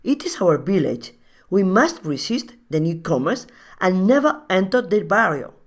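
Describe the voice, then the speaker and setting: A woman's voice: lowish voice, very animated, normal speed. A woman playing a fierce, bossy village woman in a comic radio play, blunt and scornful. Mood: conspiratorial, hostile.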